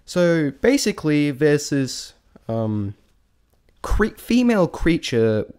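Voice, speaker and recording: A man giving a casual, unscripted review in a halting, rambling way, often correcting himself mid-thought. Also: on the high side, big pitch swings, a little mellow, smooth. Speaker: vlogger reviewing a stage show.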